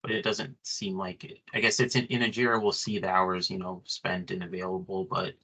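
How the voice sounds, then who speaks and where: A man with a low voice and a bright, forceful edge speaks as an attendee at a workplace training. He is informal and tentative, thinking his way through what he wants to check. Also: flat delivery, a little fast, low-quality recording. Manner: tentative, seeks confirmation. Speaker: training attendee asking questions. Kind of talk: informal workplace question and discussion.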